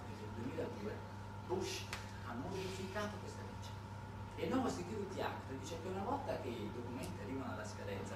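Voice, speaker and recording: A man performing a theatrical political monologue as a storyteller, with dramatic, halting delivery and rhetorical questions. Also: on the high side. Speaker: stage actor performing a monologue. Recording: distant, echoey room, noisy live stage recording.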